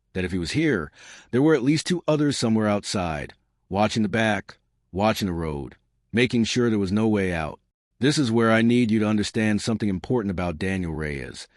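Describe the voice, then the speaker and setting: A man's voice: on the low side, no echo, studio quality. A man narrating a scripted dramatic story as a suspenseful storyteller who speaks straight to the listener. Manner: suspenseful, addressing listeners directly.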